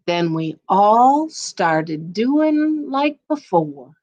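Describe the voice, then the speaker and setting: A woman tells a story to young children with a strongly animated, swinging pitch. She takes her time, playful and expressive, and slips easily between reading and casual chat. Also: extremely slow, lo-fi sound. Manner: playful, expressive, sing-song when reading. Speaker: guest storyteller.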